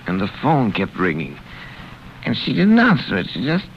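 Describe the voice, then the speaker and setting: An actor playing a rambling, drunk gentleman in a vintage radio drama, with slurred, sing-song speech. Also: fairly low pitch, wildly swooping pitch, normal speed, a little hiss or hum. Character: drunk upper-class English gentleman, rambling. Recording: dull lo-fi old radio recording.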